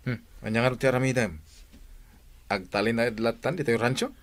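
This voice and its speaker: A man's voice: medium pitch, steady tone, fast, lightly acted. An actor with a Filipino accent playing a teasing but troubled young man confiding in his buddy in a radio drama.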